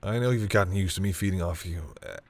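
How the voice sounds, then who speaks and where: A man playing a flustered, tender and grudgingly fond vampire boyfriend in an intimate roleplay audio, hesitant and teasing, with a husky voice. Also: sits low, fast, darkish tone. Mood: shy, earnest. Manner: hesitant, easily embarrassed, teasing.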